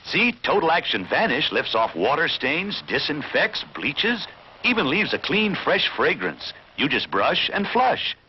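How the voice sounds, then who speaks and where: Very animated male commercial announcer. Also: on the high side, measured pace. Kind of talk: vintage commercial sales pitch. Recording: dull, noisy vintage ad audio.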